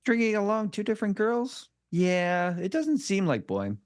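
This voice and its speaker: A concerned male crewman from a sci-fi cartoon script, voiced by a woman at a table read. He is puzzled and mildly disapproving. The intonation is lively and questioning. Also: mid-range voice, normal speed, natural voice.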